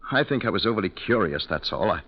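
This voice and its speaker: A concerned, curious gentleman in an old-time radio play. His low-pitched voice is formal and measured yet very expressive. The recording is dull and noisy, like a 1940s broadcast. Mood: curious, conciliatory. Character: curious, concerned gentleman narrator, the artist's friend. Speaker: narrator and lead character. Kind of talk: 1940s radio acting, storytelling.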